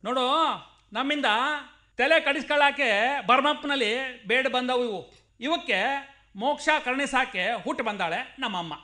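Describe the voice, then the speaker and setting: Superstitious village shepherd in a radio drama, rural karnataka accent, really high bright forceful voice, stubborn and scolding. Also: male voice, moderate pace, pauses often. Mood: insistent. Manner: emphatic, admonishing, folksy. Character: stubborn superstitious rustic shepherd, fervent devotee of village goddess. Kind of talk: radio play acting.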